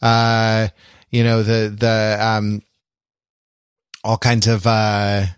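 A man's voice: lowish voice, completely flat delivery, extremely slow, clean tone, dry recording, studio quality. A middle-aged man co-hosting a podcast, chatting casually in a hesitant way and often repeating words. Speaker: podcast co-host. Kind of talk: casual podcast introduction and chat.

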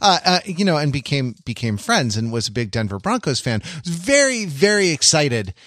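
A man's voice: fairly high pitch, big pitch swings, normal speed, a bit bright, no room sound. A man co-hosting a podcast, chatting casually in a wry, anecdotal way. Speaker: podcast co-host. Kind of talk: casual chat.

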